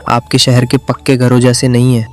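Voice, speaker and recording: A man's voice: medium pitch, completely flat delivery, normal speed, darkish tone, faint music behind, natural voice. A man voicing a worried, grumbling poor village husband in a cartoon story.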